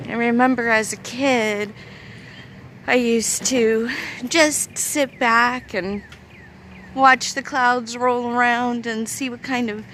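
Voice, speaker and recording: A woman's voice: a little high, a bit bright, slight background noise. A woman in her thirties with a young-sounding voice, chatting casually to camera in a rambling, hesitant way with many fillers. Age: 30s. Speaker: vlogger.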